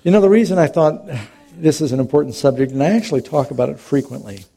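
A man's voice: a little high, fast talker, creaky, mellow, husky. A middle-aged man, a pastor, preaching a sermon in a conversational, storytelling way with touches of humor.

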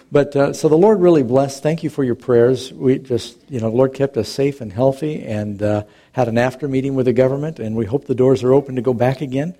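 A man's voice: medium pitch, mellow, slight background noise. A middle-aged man, a pastor, preaching a sermon in a conversational, storytelling way with touches of humor.

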